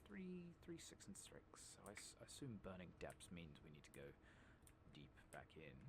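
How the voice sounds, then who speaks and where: A man giving soft-spoken, partly whispered ASMR commentary while playing a game, muttering in a hushed, unhurried way. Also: sits low, wildly swooping pitch. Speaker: game streamer. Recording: noisy with clicking and game ambience.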